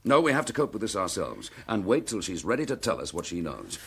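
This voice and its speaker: An actor playing a decisive, protective British police inspector under suspension, speaking briskly and with command in a radio drama. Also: male voice, low voice, wildly swooping pitch, gravelly, a bit bright, slight background noise, exaggerated voice. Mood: measured, resolute.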